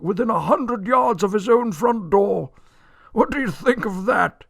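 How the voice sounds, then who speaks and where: An actor in a radio drama playing a cantankerous, litigious old gentleman, pompous and indignant, declaiming in a creaky voice. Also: extremely high pitch, no room sound, full character voice. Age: older.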